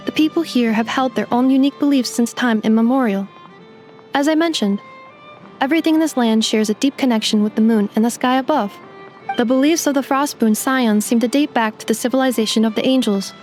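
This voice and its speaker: A woman's voice: fairly high pitch, fairly quick, darkish tone, natural voice. A woman voicing a polite female robot guide assistant who speaks in a precise, formal, factual way, in video game dialogue with music behind. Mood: calm.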